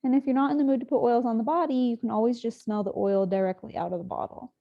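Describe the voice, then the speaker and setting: A woman leading a guided sleep meditation, speaking slowly and soothingly with long pauses. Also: fairly high pitch, fairly even intonation, creaky, lo-fi sound.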